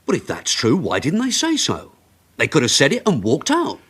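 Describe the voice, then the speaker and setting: A man's voice: a little high, huge pitch swings, normal speed, fairly bright tone, slightly rough, full character voice. A man playing a dogged, headstrong police detective in a radio crime drama, assertive and insistent. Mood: defensive, incredulous.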